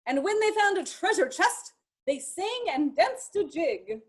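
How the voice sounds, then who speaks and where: A woman reading a children's picture book aloud expressively, in measured rhyme with chatty asides. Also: extremely high pitch. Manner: expressive, measured rhyming delivery. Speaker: storytime reader.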